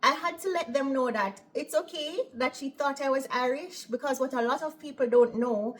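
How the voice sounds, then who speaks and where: A woman's voice: sits high, moderate pace, a little hiss or hum. A woman from Jamaica with a Jamaican accent, a nurse chatting casually to her viewers and often asking "right?". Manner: chatty, opinionated, tags lines with "right?". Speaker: operating room nurse. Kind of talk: casual vlog storytelling.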